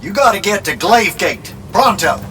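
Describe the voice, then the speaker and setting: High-voiced, folksy, anxious ally. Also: male voice, lively intonation, normal speed, sharp tone, a little hiss or hum. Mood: urgent, worried. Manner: informal, colloquial. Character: anxious, folksy male ally relaying urgent battle news. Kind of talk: video game cinematic acting.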